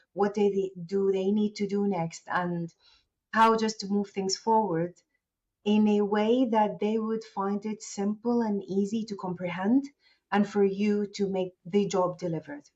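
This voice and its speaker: Woman, native Arabic speaker, interview guest, polite, thoughtful, mid pitch, dull lo-fi sound. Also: darkish tone. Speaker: guest, agency founder. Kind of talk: interview conversation.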